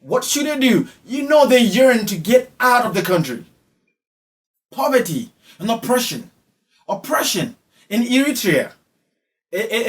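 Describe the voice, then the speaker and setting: A man from Ghana with a Ghanaian accent giving spoken social commentary, emphatic and repeating phrases for effect. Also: high voice. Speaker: online commentator.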